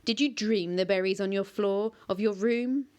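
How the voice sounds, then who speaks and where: An ancient tree monster as tall as a house, speaking slowly and gravely with old, quiet authority, played by a woman reading the story. Its words come weighty and unhurried, more stern than frightening. It is a storybook voice, not a shout. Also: medium pitch, lightly acted. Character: ancient giant yew-tree monster, male, imposing.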